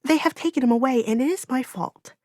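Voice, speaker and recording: A woman's voice: high-pitched, fairly quick, a little mellow, dry recording, clean studio sound, voice pushed up. A woman voicing a grief-stricken, ashamed young village girl in an audiobook, with a tearful, pleading delivery. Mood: distressed. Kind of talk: audiobook character dialogue.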